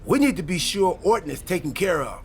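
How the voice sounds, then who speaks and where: A man playing an excitable, goofy time-travelling wrestler in a video game cutscene, wisecracking through dramatic exposition. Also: high voice, a little fast. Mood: eager planning.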